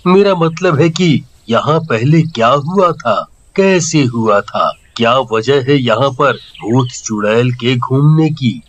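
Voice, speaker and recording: A calm, powerful tantric from a horror audio story acted by a man. He speaks at a very slow, measured pace in an average male pitch, with formal courtesy. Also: a little hiss or hum. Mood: inquiring.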